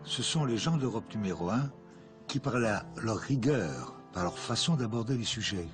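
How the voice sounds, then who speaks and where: Measured low-voiced man in an interview. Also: animated. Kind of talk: documentary interview. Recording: music playing under the voice.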